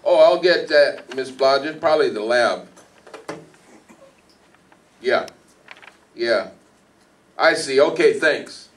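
A man's voice: medium pitch, takes it slowly, long pauses, close to an everyday voice. Guy doing plain talking police detective in a radio play, recorded from far off. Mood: matter-of-fact. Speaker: amateur radio play actor. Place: small hall stage, indoors. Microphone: stand microphone, recorded by far camera. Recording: distant hall PA recording.

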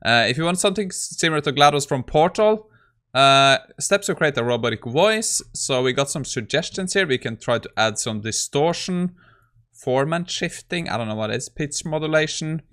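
A man's voice: on the high side, expressive, moderate pace, fairly bright tone. Man casually walking through code. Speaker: tech YouTuber. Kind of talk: casual screen-recorded coding walkthrough.

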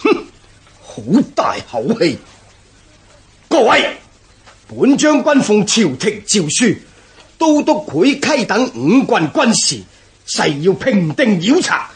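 Haughty, high-voiced army commander. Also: male voice, sing-song, takes it slowly, long pauses, full character voice. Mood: scornful, imperious. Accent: Hong Kong Cantonese. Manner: pompous, scoffing. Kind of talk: Chinese historical radio drama acting.

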